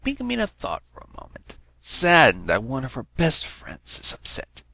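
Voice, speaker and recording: A man's voice: mid-range voice, wildly swooping pitch, normal speed, gravelly, pushed and bright, dry recording. A man reading fan fiction aloud as a scripted story narration.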